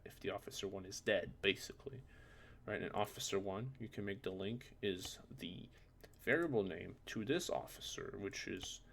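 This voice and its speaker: Low, gravelly non-native English-speaking man. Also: fairly bright tone, slight background noise. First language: not English. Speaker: tutorial presenter. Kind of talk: casual unscripted software tutorial.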